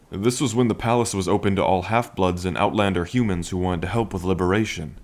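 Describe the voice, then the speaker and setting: A man's voice: low-pitched, natural voice. A man playing a playful, lovestruck dragon king in an intimate ASMR roleplay, with a languid, teasing and tender manner. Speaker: roleplay voice performer. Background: rain.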